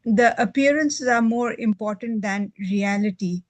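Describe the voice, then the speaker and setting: A woman's voice: a little high, unhurried, dry recording. A woman shares her views in a casual book club discussion.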